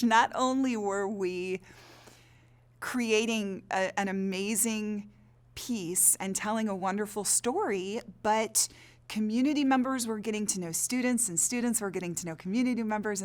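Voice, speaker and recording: A woman, a drama teacher and director, talking in an interview in an enthusiastic, emphatic way. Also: mid-range voice. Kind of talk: interview recollection.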